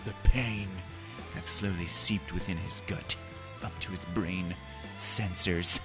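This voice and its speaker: A man's voice: low-pitched, fairly even intonation, slow, over music. A man doing a theatrical, comedic dramatic reading of a story, exaggerated and full of ad-libbed asides.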